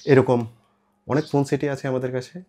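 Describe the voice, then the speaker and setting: Male, Bangladeshi accent, mid-pitched. Seller's informal demo, instructional, English words mixed in, slightly noisy recording with clicks. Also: flat delivery, moderate pace, dark tone. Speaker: shop seller presenting products. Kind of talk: informal product demonstration. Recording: clear background noise with clicks.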